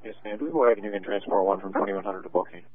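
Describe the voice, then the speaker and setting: A man's voice: on the low side, rapid-fire, slightly rough, dry recording. A male police officer making brief call-ins over a two-way radio. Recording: tinny, narrow-band police scanner radio.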